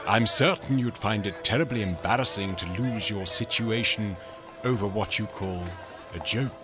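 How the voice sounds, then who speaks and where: An actor playing a fussy, loyal English manservant in a radio drama, speaking with formal precision and exasperated politeness. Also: male voice, on the low side, moderate pace, music in the background, close to an everyday voice. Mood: firm, indignant.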